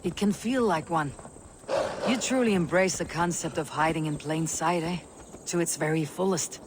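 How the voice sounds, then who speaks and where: A woman's voice: on the low side, moderate pace, noisy background, natural voice. A woman playing a stern, plain-spoken Viking clan leader in a video game, terse and grave. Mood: frank, wry. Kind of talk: video game character acting.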